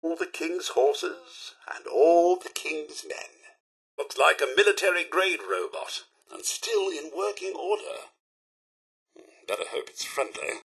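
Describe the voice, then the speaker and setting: A man voicing a polite, fussy robot butler for a video game, with a British accent and a formal, deferential, theatrically expressive delivery. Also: fairly high pitch, slow, creaky, breathy edge, exaggerated voice. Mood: dismayed, wistful. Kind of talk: video game companion voice lines.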